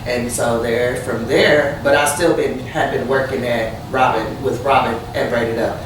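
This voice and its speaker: A salon co-owner from Kansas City telling their story in a casual, rambling way, with many restarts. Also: male voice, mid-range voice, steady tone, unhurried. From Kansas City, Missouri. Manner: chatty, rambling, frequent restarts. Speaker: hair salon co-owner, guest. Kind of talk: casual interview. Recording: echoey room, distant mic, noticeable noise.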